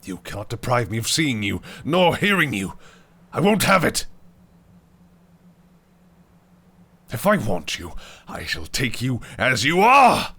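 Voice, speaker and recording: An actor playing a possessive, scheming male fae prince in an audio roleplay drama, who speaks in a theatrical, old-fashioned way and swings between shouted rage and hushed whispers. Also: medium pitch, huge pitch swings, moderate pace, slightly rough, heavily acted. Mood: furious.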